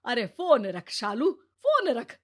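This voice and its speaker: Lady doing a fed-up older saas in a cartoon, highish voice, blunt and scolding. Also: wildly swooping pitch, slow, fairly bright tone, exaggerated voice. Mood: scolding, exasperated. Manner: blunt, chiding. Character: exasperated, scolding older mother-in-law. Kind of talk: Hindi moral-story cartoon acting.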